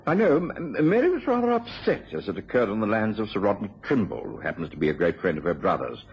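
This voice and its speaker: An actor playing a kindly, dutiful British gentleman in an old radio drama, genteel in his speech. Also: lowish voice, sing-song, quick pace, a little hiss or hum. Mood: hesitant. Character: kindly, dutiful married English gentleman, loyal friend. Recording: muffled vintage radio recording.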